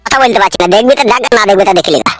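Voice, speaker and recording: A woman voices a brusque male village doctor in a comedy cartoon. The delivery is quick, casual and dismissive. Music plays loudly underneath. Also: mid-range voice, close to an everyday voice.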